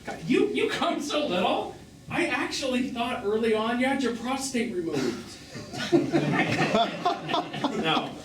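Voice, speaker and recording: Bitter, animated jilted lover, distant stage. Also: male voice, very high-pitched, takes it slowly, pauses often, heavily acted. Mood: angry, hurt. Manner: theatrical, cutting, emphatic. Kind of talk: live one-act stage drama acting. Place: small theatre stage, recorded from afar. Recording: distant noisy roomy theatre stage recording.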